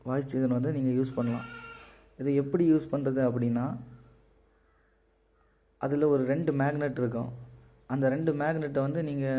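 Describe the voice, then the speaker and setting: A man with a mellow, mid-pitched voice explains a product casually. The recording is a little dull. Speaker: product seller. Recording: slightly muffled, dull audio.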